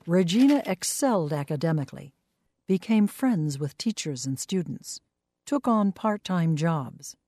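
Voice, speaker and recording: A woman reading scripted documentary narration in a measured, even way. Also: fairly low pitch.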